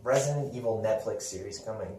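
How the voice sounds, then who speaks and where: A man's voice: on the low side, fast talker, mellow. A man hosting a podcast, chatting casually about games and films in an enthusiastic, rambling, informal way. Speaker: podcast host. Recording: roomy echo, distant from microphone.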